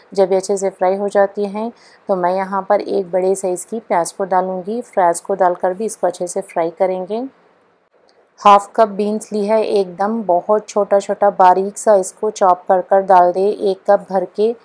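Woman with a flat, even cooking voice-over. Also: mid-range voice. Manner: calm, even instructional narration. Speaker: cooking channel host. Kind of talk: step-by-step recipe voice-over.

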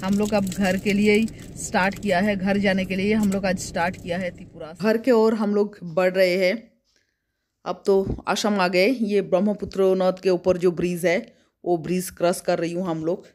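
A woman's voice: mid-range voice, little pitch movement, normal speed, darkish tone. A woman travel vlogger from West Bengal chatting casually on a road trip, listing places and costs as she goes, recorded on a phone held at arm's length in a car and outdoors.

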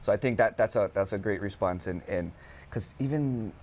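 A man hosts a conversational interview. He talks in an expressive, casual way and drops in words. He sounds like he is in his thirties, on a lapel mic in a studio. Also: on the low side, normal speed, a little hiss or hum. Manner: expressive, casual, peppers talk with Hawaiian words. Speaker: talk show host. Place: television studio.

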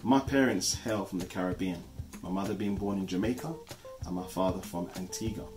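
A man, co-hosting a channel introduction from a living room sofa in a measured, earnest way. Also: deep voice. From East London, England. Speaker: channel co-host. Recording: music playing underneath. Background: soft background music.

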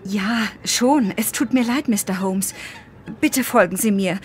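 An actress playing a startled, eager college servant in a German audio drama, flustered and deferential. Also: female voice, mid-range voice, unhurried, slight background noise, close to an everyday voice.

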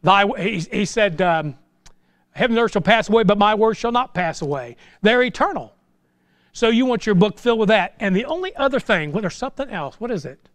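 Man, American accent, middle-aged, high-pitched voice, informal sermon, animated folksy exhortation, dry sound. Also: brisk, no echo. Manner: folksy, exhortative, with rhetorical questions. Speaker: pastor preaching. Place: small church sanctuary, indoors.

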